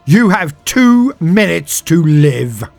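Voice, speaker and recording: A man's voice: a little high, very animated, slow, vocal fry, darkish tone, faint music behind, pitched above the performer's own voice, heavily acted. A man voicing a stern, unflinching space marine sergeant in a dramatic story, commanding and menacing. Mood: barked threat. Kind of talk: dramatic storybook character acting.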